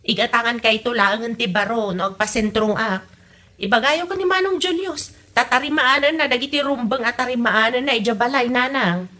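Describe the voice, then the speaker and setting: Bright, high, bossy wife acted by a woman. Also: a little fast. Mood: urging. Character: bossy, worrying wife in a household comedy. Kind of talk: amateur comedy audio drama acting.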